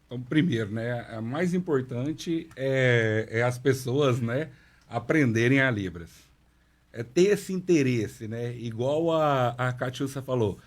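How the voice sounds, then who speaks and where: A man speaks in a radio studio, working steadily through his explanations with a mid-range voice. He often pauses on "né" as he builds his points, and sounds like a teacher laying things out for listeners. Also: mid-range voice, lively intonation. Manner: measured explanatory delivery with frequent "né". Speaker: sign language professor and interpreter. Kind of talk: radio interview answers.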